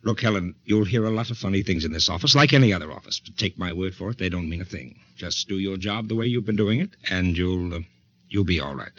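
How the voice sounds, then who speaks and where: An actor with an American accent playing an evasive, casually reassuring advertising boss in a 1940s radio suspense drama. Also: male voice, low-pitched, fast talker, husky, no room sound. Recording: dull, dry 1940s radio recording.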